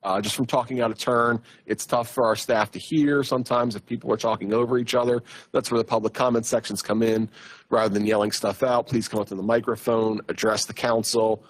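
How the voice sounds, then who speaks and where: A man, a borough official, giving a plain, conversational report at a council meeting, with frequent fillers and a loud voice. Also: mid-range voice, flat delivery, a little fast, low-quality recording.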